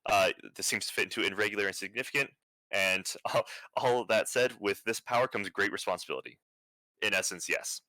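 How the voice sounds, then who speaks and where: A young man presenting at an online conference, talking in a flat, near-monotone way with many fillers and restarts. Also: lowish voice, fairly quick, no echo, rough recording.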